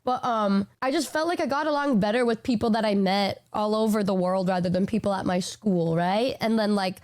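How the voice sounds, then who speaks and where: A woman's voice: medium pitch, brisk, a little mellow. A woman in her twenties chatting casually on stream, rambling with lots of "like" and "bro" and casual swearing. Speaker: VTuber streamer.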